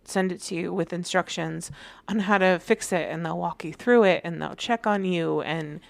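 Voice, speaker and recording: A woman's voice: lowish voice, normal speed, creaky, no room sound. Bubbly woman podcast host. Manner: bubbly, laughs a lot, many "like"s. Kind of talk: casual chat.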